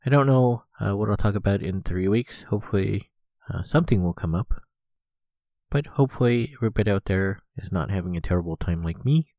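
A young man with a very deep, low voice, talking through a stuffy head cold. He rambles casually on his solo podcast and leans on frequent "uh" fillers. The recording is close and dry, with no room sound. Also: fairly quick, warm tone, dry recording. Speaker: podcast host.

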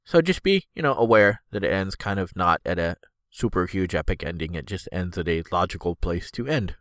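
A man's voice: low-pitched, expressive, fairly quick, fairly bright tone, no room sound, clean studio sound. A man hosting a solo podcast, rambling casually with lots of fillers, his voice stuffed up and congested. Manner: rambling, filler-heavy, easygoing. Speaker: podcast host.

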